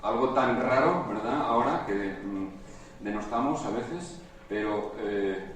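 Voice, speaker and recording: A man's voice: lowish voice, lively intonation, measured pace, a bit bright. A man from Spain with a Spanish accent giving a live conference talk, hesitant and full of filler sounds as he addresses the audience. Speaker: conference speaker. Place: conference hall. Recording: roomy hall sound from far off with faint noise.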